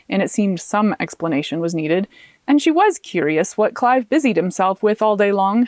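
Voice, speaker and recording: A woman author reading her own fiction aloud as an expressive audiobook narrator. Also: mid-range voice, no echo, studio quality.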